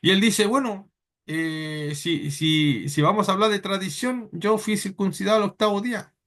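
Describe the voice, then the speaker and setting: A man preaches in a high voice, his plain throughout. He teaches earnestly and haltingly, often repeating words as he gathers his thoughts during a church sermon. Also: fairly bright tone. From Chile.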